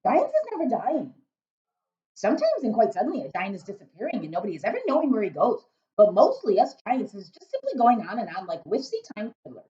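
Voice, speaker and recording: A woman voicing a huge, very old, kindly male giant who talks loudly with jumbled grammar and made-up words, in a storybook reading. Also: a little high, wildly swooping pitch, quick pace, lo-fi sound, voice pushed up. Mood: earnest.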